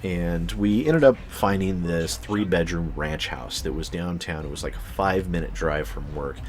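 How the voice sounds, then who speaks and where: A man telling a casual, rambling personal story as a channel host, swearing freely with exaggerated emphasis. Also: deep voice.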